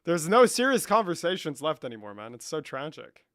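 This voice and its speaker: Cocky young dude on a debate stream, mocking callers, swears constantly, dead dry mic. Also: male voice, fairly high pitch, sing-song, brisk, fairly bright tone, dry recording. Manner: brash, profane, mocking. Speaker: livestream debate host. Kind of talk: casual livestream debate.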